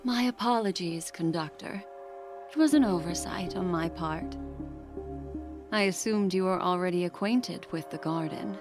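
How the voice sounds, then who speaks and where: An actress playing a poised, mysterious memory keeper in a video game, formal and coolly polite. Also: female voice, on the low side, normal speed, natural voice. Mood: poised, courteous. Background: game music.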